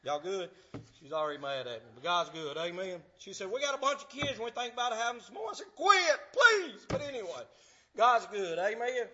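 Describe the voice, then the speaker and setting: Man in his fifties, fervent preacher, bright high voice, dull lo-fi sound. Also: big pitch swings, fairly quick, a little hiss or hum. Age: 50s. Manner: fervent, swings from shouting to hushed. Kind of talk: sermon. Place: church.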